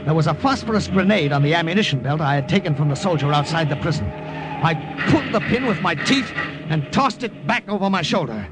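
A frantic young soldier-spy bursts out his lines in a high, swinging voice. It is classic 1940s radio acting, heard through a hissy old broadcast. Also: sing-song, fairly quick. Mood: urgent. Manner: urgent, breathless, flustered. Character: desperate young male army sketch artist and spy.

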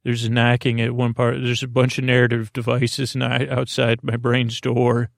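A man hosting a bedtime podcast, rambling haltingly through whimsical, improvised asides and stumbling over his words in a creaky voice. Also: mid-range voice, monotone, no echo, clean studio sound. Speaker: podcast host. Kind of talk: rambling improvised bedtime monologue to help listeners fall asleep.

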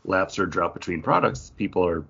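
A man with a husky voice explaining things informally as a podcast co-host in casual chat. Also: sits low, animated, normal speed, a bit bright, highs cut off. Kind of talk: casual chat about games and comics.

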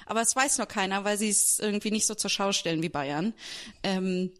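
A woman's voice: medium pitch, a little fast, a bit bright. A woman from Germany talking candidly and informally about herself in a podcast conversation, often breaking off and restarting. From Berlin and Hesse, Germany. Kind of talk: personal, confessional podcast conversation.

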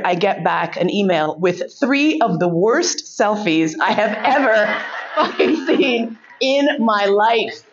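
A woman's voice: a little high, dull audio, slight background noise. A woman giving a keynote speech, telling a frank, profane story and laughing often.

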